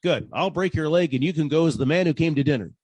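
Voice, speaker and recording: An actor playing a weary, sarcastic private detective with a husky voice and an exasperated manner, in a 1940s style radio comedy. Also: male voice, a little high, quick pace, darkish tone, dry recording. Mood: incredulous.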